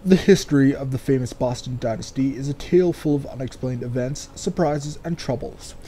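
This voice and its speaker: A man's voice: medium pitch, brisk, warm tone, a little hiss or hum. A man doing casual game commentary and reading game text aloud, sardonic and free with swearing. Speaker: let's player.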